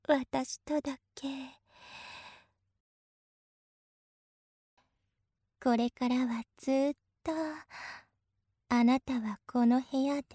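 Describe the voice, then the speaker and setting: A woman's voice: high-pitched, dark tone, no echo, clean studio sound. A woman playing an obsessive, possessive big sister in a voice drama, coaxing sweetly with an unhinged edge. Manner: clingy, coaxing, slipping into intense whispers. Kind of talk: Japanese situation voice drama acting.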